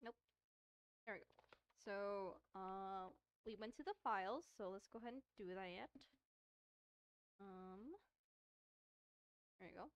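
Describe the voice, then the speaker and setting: A woman's voice: medium pitch, slow, long pauses, smooth, no echo, poor audio. A woman chatting casually as she hosts a gaming let's-play, rambling hesitantly and often correcting herself. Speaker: game streamer, host.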